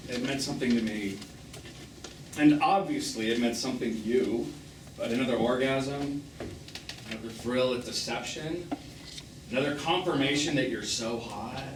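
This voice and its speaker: A man's voice: mid-range voice, moderate pace, long pauses, natural voice. An actor on stage playing a bitter, wounded man in his fifties, sarcastic and theatrical, as a jilted lover in a live drama. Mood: hurt, accusing. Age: 50s. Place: small theatre, recorded from the audience. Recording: echoey, noisy stage recording from far off.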